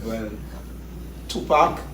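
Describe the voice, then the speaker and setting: A man with a Trinidadian accent, a hip hop artist talking casually as an interview guest, laid-back and often saying "you know what I mean". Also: drawn-out words. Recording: echoey room, mic at a distance, background noise.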